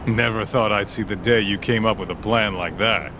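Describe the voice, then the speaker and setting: An actor playing a streetwise, sarcastic but cautious sidekick in a video game, bantering in slangy, skeptical style. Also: male voice, fairly low pitch, moderate pace, noisy background, lightly acted. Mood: amused, sarcastic. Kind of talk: English game dub acting.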